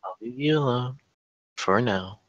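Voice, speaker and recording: A woman's voice: very deep voice, unhurried, no echo, lo-fi sound. A woman reading the part of a caring, direct aunt in her forties at a scripted table read. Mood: caring, probing. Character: caring, direct forty-four-year-old aunt, an EMT.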